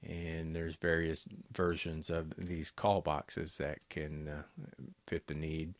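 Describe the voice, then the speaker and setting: Deep-voiced man explaining products, dry sound. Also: completely flat delivery, takes it slowly, no echo. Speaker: intercom company salesperson presenting products. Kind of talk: unscripted product walkthrough over screen recording.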